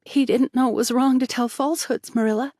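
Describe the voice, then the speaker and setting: A woman voicing a gentle young schoolteacher who speaks softly and reproachfully. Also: high voice, fairly even intonation, a little fast, dry recording, studio quality, voice pushed up. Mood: gently firm. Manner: soft, reproachful.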